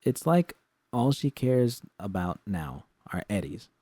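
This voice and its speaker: A man's voice: fairly low pitch, big pitch swings, slow pace, warm tone, slightly rough, dry recording, exaggerated voice. A man voicing a jaded, sardonic young woman, blunt and sweary, in an audio story. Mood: venting.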